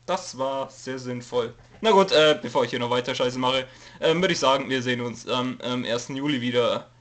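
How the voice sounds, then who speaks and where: A man's voice: mid-range voice, moderate pace, fairly bright tone. A young man who has a cold, hesitantly rambling through a casual channel update with lots of fillers and jokes. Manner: hesitant, many fillers, reads from a note, jokey. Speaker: YouTube let's player giving a channel update. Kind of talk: casual rambling info video.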